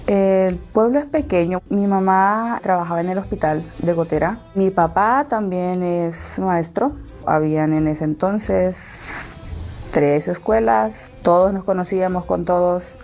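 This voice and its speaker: A woman in her thirties with a Salvadoran accent, giving personal testimony in an interview and pausing between phrases. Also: on the low side, a little mellow, soft background music. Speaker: interviewee telling her own story.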